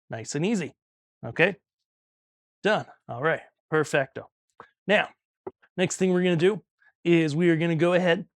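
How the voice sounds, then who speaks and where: A man's voice: a little high, dry recording. A man presenting a practical tutorial in a casual, step-by-step way, often checking in as he explains, recorded in a small studio. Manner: casual, instructional, frequent "okay" check-ins. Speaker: audio instructor and video host.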